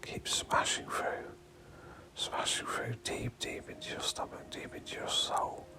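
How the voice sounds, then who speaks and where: A man guiding a meditation in a breathy whisper, speaking in short, hypnotic phrases with pauses and repeated words. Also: bright, forceful tone. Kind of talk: guided meditation, hypnotic and repetitive.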